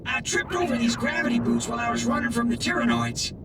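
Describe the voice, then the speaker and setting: A man's voice: extremely high pitch, wildly swooping pitch, quick pace, gravelly, heavy background noise, full character voice. A man voicing a friendly galactic ranger soldier in a cartoonish video game. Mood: relieved, grateful.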